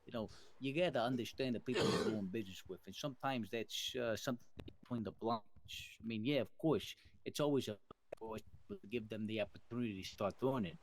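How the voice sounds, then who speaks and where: A man's voice: lowish voice, a little fast, rough recording. A man roleplaying a persuasive mafia boss in an online crime game, talking in rambling, emphatic, sweary wiseguy style. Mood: insistent, businesslike. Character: smooth-talking male mafia boss planning family business.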